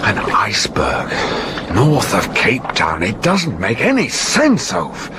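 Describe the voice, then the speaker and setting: An actor playing an anxious, sceptical ice scientist adrift after a shipwreck in an audio drama, agitated and full of questions. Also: male voice, lowish voice, wildly swooping pitch, slow, exaggerated voice. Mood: worried, subdued. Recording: very noisy, with sea disaster sound effects.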